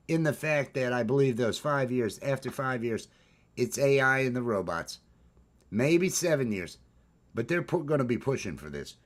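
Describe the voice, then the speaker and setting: A man with a baritone voice hosts a casual morning chat, rambling with restarts and fillers. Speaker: host.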